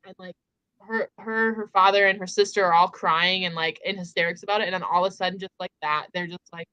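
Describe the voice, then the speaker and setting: Bright-voiced woman chatting about books. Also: on the low side, steady tone, quick pace, clean tone, no echo, dull audio. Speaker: book discussion host. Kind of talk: casual book chat.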